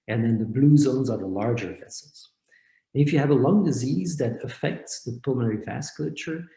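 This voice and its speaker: A man from Belgium, a company founder, explaining his work step by step in a measured way over a video call. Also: medium pitch, warm tone. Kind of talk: explanatory interview answers. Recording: echoey room.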